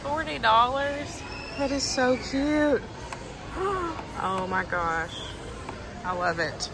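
Slow-paced Southern woman vlogger, noisy background. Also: high-pitched, expressive. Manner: gushing, exclamatory, informal. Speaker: shopping haul vlogger. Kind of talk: casual vlog chat, remarking on products and prices.

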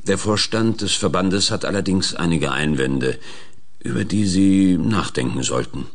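A man's voice: deep voice, expressive, unhurried, full character voice. An actor playing a curt, domineering male industry lobbyist in a radio play, brusque and condescending. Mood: businesslike.

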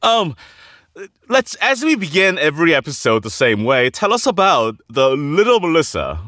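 A man hosts a podcast interview in a conversational style. His pitch sits a little high and moves around in a lively way, in a dry recording. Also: normal speed, dry recording. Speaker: podcast host.

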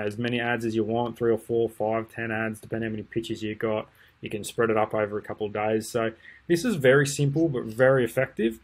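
A man's voice: fairly low pitch, husky. A man, talking through a screen-share tutorial casually, with lots of ums and restarts. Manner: relaxed, rambling, many ums and restarts. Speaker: marketing tutor. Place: indoors at a desk.

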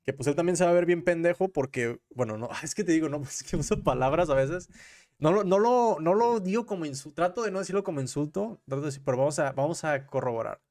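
A man's voice: on the high side, expressive, quick pace, a little mellow. A young Mexican man giving casual livestream commentary in an informal, slangy, rambling way, correcting himself as he goes, close on a desk mic at home. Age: young adult. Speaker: commentary streamer and host. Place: small room at home. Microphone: close desk microphone on a boom arm.

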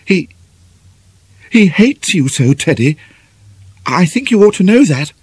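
A male narrator plays a frightened young woman in an audiobook drama. The voice sits very high and slightly breathy, halting and pleading, with tearful, timid swings of pitch. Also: big pitch swings, dry recording, full character voice. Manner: halting, whispery, pleading, stammering.

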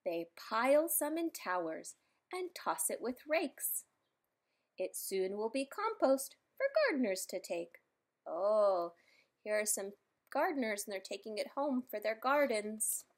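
A woman reading a children's picture book aloud, adding playful, expressive asides for young listeners. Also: medium pitch, unhurried. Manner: playful, expressive, simple child-directed delivery with exclamations. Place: indoors in a room at home.

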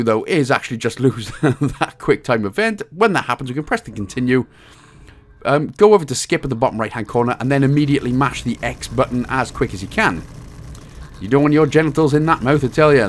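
A man presents a casual game achievement guide. His pitch swings in a lively way as he explains things, and his manner is jokey and a bit crude. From Wales. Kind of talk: casual video game walkthrough commentary.

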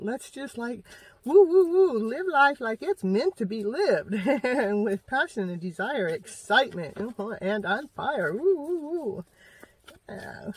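A middle-aged woman with a husky voice does a loose, chatty tarot reading. Her pitch swings widely as she repeats phrases in a giggly, exclamatory way. She is recorded in a car, a little away from the mic. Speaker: tarot reader hosting a show. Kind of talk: informal tarot card reading, rambling commentary. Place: inside a parked car. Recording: slightly distant in-car microphone.

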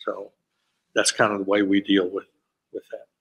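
An older man with a lowish voice speaks on a conference panel over a video call. He explains his office's process step by step at an unhurried, steady pace and often pauses on "uh.". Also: highs cut off. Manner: steady, explanatory, with frequent "uh" fillers. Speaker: panelist, graduate school administrator.

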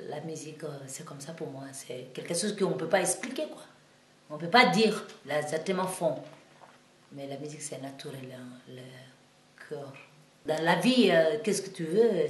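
A Guinean woman with a Guinean French accent speaks about her music in plain, broken French, stumbling and restarting as she goes. Her voice sits a little low, and she turns emphatic and heated. The recording has an echoey room sound. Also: a little mellow. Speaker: singer and musician. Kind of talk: interview.

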